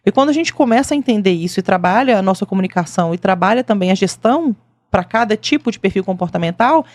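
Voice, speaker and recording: A woman's voice: mid-range voice, expressive, quick pace, darkish tone, no room sound. A woman with a Brazilian Portuguese accent hosting a casual podcast, thoughtful and searching for her words as she asks her questions. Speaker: podcast host.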